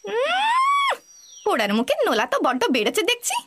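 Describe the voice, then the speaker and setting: A woman's voice: extremely high pitch, huge pitch swings, moderate pace, noisy background, heavily acted. A woman voicing a cruel, sarcastic village aunt in a cartoon, scolding and taunting scornfully. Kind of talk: Bengali cartoon horror story acting.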